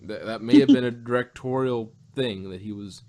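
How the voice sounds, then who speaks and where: A man's voice: medium pitch, moderate pace, dark tone. A man co-hosting a casual review podcast, rambling and often rephrasing as he goes. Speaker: podcast co-host reviewing audio drama. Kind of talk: casual chat.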